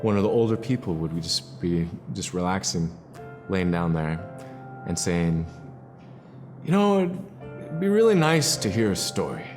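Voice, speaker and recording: A man in a documentary interview, recalling things in a reflective, unhurried way. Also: deep voice, long reverb tail. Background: music.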